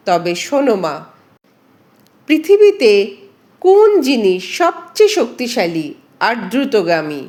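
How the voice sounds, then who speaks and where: Brief: female narrator as despairing poor village dad, meek, lamenting, slow, with swinging storybook intonation. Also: fairly high pitch, big pitch swings, a little mellow. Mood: worried. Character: poor, despairing peasant father, meek and sorrowful.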